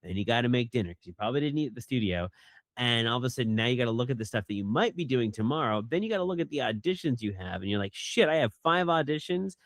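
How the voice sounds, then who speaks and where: A man, a voice actor, chatting casually in an interview and talking animatedly, often jumping into a higher voice when he quotes people. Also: expressive, fast, no room sound. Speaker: voice actor guest.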